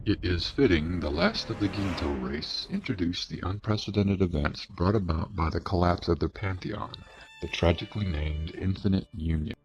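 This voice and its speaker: A man's voice: deep voice, lively intonation, normal speed, lo-fi sound. A man narrating scripted fantasy lore in a solemn, grandiose storytelling manner. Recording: music bed under narration. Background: music.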